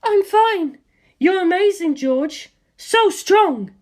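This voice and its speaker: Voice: very high-pitched, animated, slow pace, pitched above the performer's own voice, heavily acted. A narrator voicing a confident young warrior woman with a composed, curt manner in a storybook reading. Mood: admiring.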